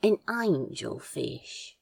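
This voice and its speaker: A woman playing a teasing, tender man in love in a fan audio drama, with a soft, casual, husky drawl. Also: on the low side, sing-song, drawn-out words, no room sound, heavily acted. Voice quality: husky, gravelly, breathy.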